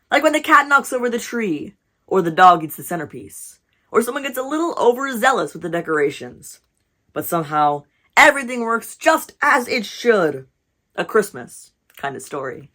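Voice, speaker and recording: A woman reading a picture book aloud as storytime host, expressive and encouraging, with rhetorical questions and asides. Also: medium pitch.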